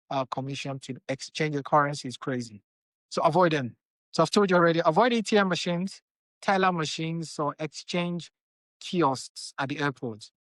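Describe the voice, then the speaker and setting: A man's voice: a little high, fairly bright tone, no room sound. A man from Nigeria, a company founder giving casual money advice and often checking in with his listeners. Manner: conversational, checks in with "okay?" and "right?".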